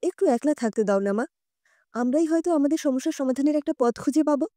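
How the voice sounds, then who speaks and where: Woman narrator as troubled young college woman, audio drama; high, mellow, lively intonation, defensive and earnest. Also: quick pace, no room sound, clean studio sound. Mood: guarded, pleading.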